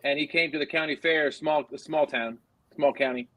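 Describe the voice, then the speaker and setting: A man's voice: a little high, fairly even intonation, normal speed, sharp tone, dry recording, poor audio. Easy-laughing man from Colorado. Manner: laughs easily, explains things. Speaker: co-host reading viewer comments. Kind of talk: casual chat.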